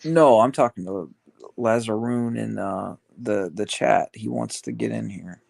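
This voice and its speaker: A man hosting a casual livestream chat, rambling and halting with many fillers. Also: lowish voice, creaky, darkish tone, no room sound. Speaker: livestream host.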